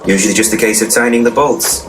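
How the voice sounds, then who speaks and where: A low male voice sits back from the microphone amid clear background noise. It is an actor as a crude, self-important ghost-hunting handyman who explains everything pompously and matter-of-factly, as in a comedy radio play. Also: quick pace, recorded from a distance, lightly acted. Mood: pompous, assured. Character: cocky, crude, know-it-all male ghost-busting handyman. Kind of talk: full-cast comedy audio drama.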